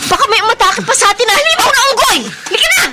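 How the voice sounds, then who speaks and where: Shrill, swooping protective big sister. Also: female voice, animated, rapid-fire. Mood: urgent. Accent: Filipino. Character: brave, protective, alarmed older sister. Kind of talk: horror radio drama acting. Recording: very noisy radio drama track.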